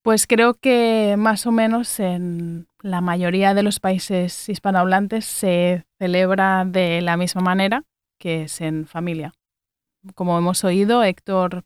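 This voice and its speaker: A woman with an accent from Spain co-hosts a learners' podcast in a smooth, clear voice. She guides the talk with questions, and the sound is dry and close. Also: on the low side, no room sound. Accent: Spanish, from Spain. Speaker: podcast co-host. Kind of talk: scripted educational podcast.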